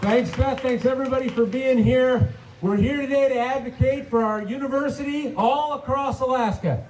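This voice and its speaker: Casting: state senator at a rally, man, very high voice, emphatic, rhetorical, faint background noise. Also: moderate pace. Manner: punchy rhetorical questions, emphatic. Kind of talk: rally speech.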